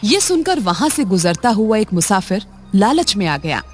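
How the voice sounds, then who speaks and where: A woman narrates animated moral stories for children in a calm, even voice. Her pitch is middle-range, and gentle music plays underneath. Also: normal speed. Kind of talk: scripted children's story narration.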